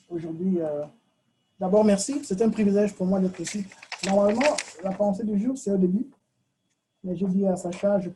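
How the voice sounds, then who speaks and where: A man's voice: sits high, dark tone, slight background noise, low-quality recording. A man from Cameroon, giving a short, informal club speech with slides and many hesitations. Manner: informal, hesitant with frequent fillers. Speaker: club member giving the thought of the day. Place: meeting in front of an audience.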